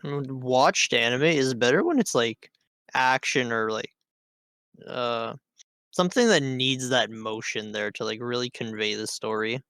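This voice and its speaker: A man's voice: medium pitch, measured pace, a bit bright, clear, resonant tone, no room sound. A man co-hosting a podcast, chatting casually with a blunt, teasing manner. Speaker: podcast co-host.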